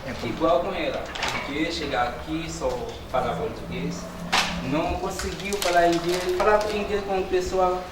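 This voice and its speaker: A Haitian man with a Haitian Creole accent answering emphatically, growing louder when he stresses a point. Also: a little high, fairly even intonation, normal speed, echoey room, heavy background noise. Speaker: interviewee. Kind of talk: interview answers.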